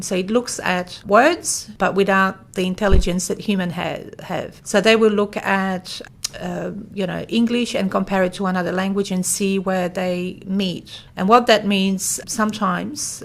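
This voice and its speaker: A woman's voice: medium pitch, creaky. A woman whose first language is Polish, explaining things plainly in a conversational interview. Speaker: interviewee, translation specialist.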